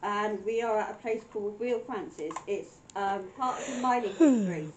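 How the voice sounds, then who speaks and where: A woman's voice: medium pitch, moderate pace, a little mellow. A woman hosting a late-night ghost hunt livestream, chatty and giggly as she reads out viewers' names, her voice worn down by a cold. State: voice weakened by a cold. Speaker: paranormal investigation host. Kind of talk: casual livestream chat, reading out viewer comments. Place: stone room in an old mine building at night. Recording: echoey stone room, faint hiss.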